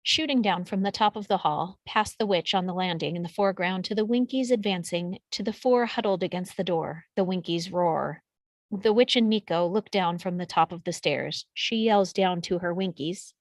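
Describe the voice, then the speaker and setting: A woman's voice: lowish voice, normal speed, no echo. A woman reading out screenplay action descriptions as scripted narration. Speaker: stage directions reader.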